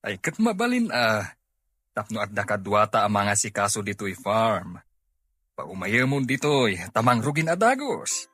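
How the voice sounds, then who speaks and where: A troubled older brother and farm boss played by a man in an audio drama. His voice is husky and bright, with lively intonation and a dry sound. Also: on the low side, measured pace, dry recording, full character voice. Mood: businesslike. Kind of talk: amateur Filipino audio drama acting.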